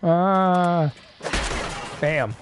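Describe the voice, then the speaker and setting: A man doing casual live gameplay commentary as a streamer, thinking aloud in a halting way. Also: high-pitched, slight background noise. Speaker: game streamer.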